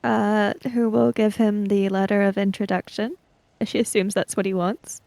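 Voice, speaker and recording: A woman's voice: mid-range voice, level tone, normal speed, vocal fry, warm tone, no echo. A woman playing a tabletop roleplaying game, casually telling what her character does and thinks.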